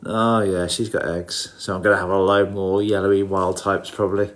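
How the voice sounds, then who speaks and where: Guy with a lowish voice, bit bunged up with a cold, nasal, casual livestream chat, mumbles to himself. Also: flat delivery, moderate pace. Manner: informal, muttering asides to himself. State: has a head cold. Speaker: livestream host. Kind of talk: casual livestream chat, greeting viewers while looking over fish tank.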